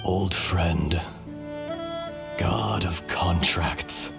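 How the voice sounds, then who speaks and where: A man's voice: low voice, extremely slow, gravelly, over music, exaggerated voice. A man voicing an ancient dragon in human form in a video game, solemn, philosophical and resigned, speaking in formal, poetic lines. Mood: resigned, stately. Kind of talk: scripted video game dialogue.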